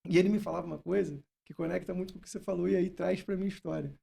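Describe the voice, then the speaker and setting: A man's voice: high voice, steady tone, rapid-fire, gravelly, warm tone, breathy edge. A Brazilian man hosting a podcast, telling stories and putting questions in a casual, reflective way. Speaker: podcast host. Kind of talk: casual conversational interview.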